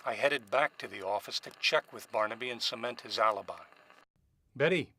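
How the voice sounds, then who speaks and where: An actor playing a cool, curt private investigator who talks and narrates in a businesslike 1940s radio noir style. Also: male voice, mid-range voice, fairly quick, sharp tone, slight background noise, poor audio. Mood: cool, narrating. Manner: clipped, businesslike, unruffled.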